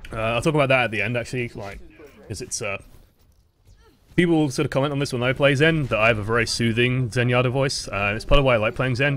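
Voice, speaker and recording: A young man casually commenting on game footage as a coach, relaxed and chatty, with the odd swear word. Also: medium pitch.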